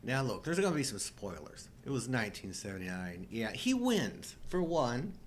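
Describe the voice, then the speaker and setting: A man's voice: mid-range voice, very animated, moderate pace, slight background noise. A man of about fifty co-hosting a casual film chat, joking and poking fun at himself. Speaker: co-host of a live show.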